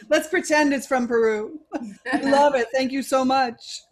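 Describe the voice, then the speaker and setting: A woman's voice: high voice, slow. An American woman teacher trainer leading an online workshop over a video call, encouraging and playful as she guides the group. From USA. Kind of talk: warm, upbeat workshop facilitation.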